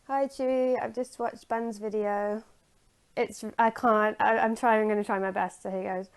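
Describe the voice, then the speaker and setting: A woman retelling a story from memory in a halting, hesitant way, searching for her words. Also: on the high side, steady tone, clean tone.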